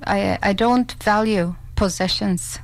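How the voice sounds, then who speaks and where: A woman's voice: medium pitch, slow pace, gravelly, no echo. A woman from Turkey, a guest at a podcast interview, earnest and searching for words. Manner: earnest, searching for words, self-taught English. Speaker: film festival organizer. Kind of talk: casual interview.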